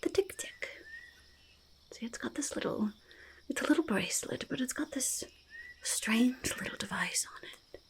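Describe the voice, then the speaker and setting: A woman voicing a curious, skittish and chatty forest goblin in soft-spoken, half-whispered ASMR roleplay, halting and repeating words. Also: on the high side, lively intonation. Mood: fond, hushed. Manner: halting, repetitive, speaks of self in third person.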